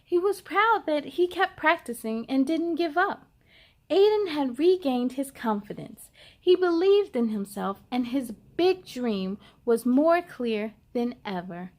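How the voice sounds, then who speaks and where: Woman, high voice, children's read-aloud host, expressive and encouraging delivery. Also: a little slow. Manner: encouraging, addresses young listeners directly. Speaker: story time host reading a children's picture book aloud.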